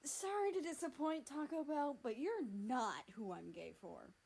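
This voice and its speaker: A woman's voice: high-pitched, lively intonation, measured pace, gravelly, a little mellow, pitched above the performer's own voice, full character voice. A woman voicing a cocky, sarcastic young male character, a teasing big brother with a casual, slangy manner. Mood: smug. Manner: casual, sarcastic, foul-mouthed.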